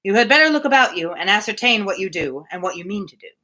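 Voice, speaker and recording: A woman reading the part of a grumpy, blunt, sly gentleman with formal, emphatic phrasing in a storybook. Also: medium pitch, lively intonation, quick pace, low-quality recording. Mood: cautioning.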